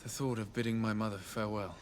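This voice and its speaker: An actor playing a polite, composed young nobleman in a video game, speaking formally with a gentle teasing edge. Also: on the low side, steady tone, quick pace, slight background noise, natural voice. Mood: wistful. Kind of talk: video game dialogue acting.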